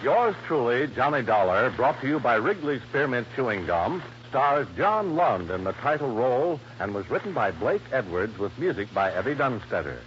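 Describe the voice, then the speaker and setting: A man's voice: mid-range voice, very animated, moderate pace, few pauses, fairly bright tone. An American man working as a 1950s radio announcer, reading sponsor copy and credits in a polished, emphatic sales delivery. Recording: noisy, muffled old radio broadcast.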